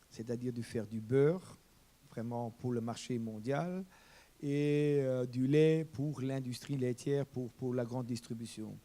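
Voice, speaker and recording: Guy whose first language is german, arguing hard on a panel, repeats words, warm low tone. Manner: impassioned, repeats words, rambling. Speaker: farmer panelist. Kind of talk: panel debate.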